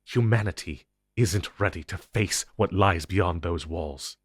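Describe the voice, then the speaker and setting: A man playing an ancient, powerful supernatural being in an audio roleplay, speaking gravely and commandingly with dramatic pauses. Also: no echo, clean studio sound. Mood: angry, urgent. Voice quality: low, husky. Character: ancient, powerful, possessive male supernatural being, grim secret-agency superior. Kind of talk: intense dramatic audio roleplay monologue addressed to listener.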